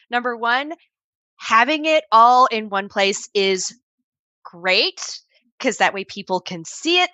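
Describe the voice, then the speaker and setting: Brief: woman on a video call, bright and fairly high voice, chatty advice-giver. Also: dry recording. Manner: conversational, explains with quoted examples, restarts often. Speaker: panel guest advising on assistant training.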